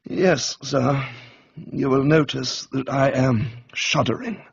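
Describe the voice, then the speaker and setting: A man voicing an unflappable, deferential English gentleman's valet, speaking formally in a measured, deadpan way in a comic radio reading. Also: mid-range voice, gravelly, husky, highs cut off, exaggerated voice. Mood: grave, deferential.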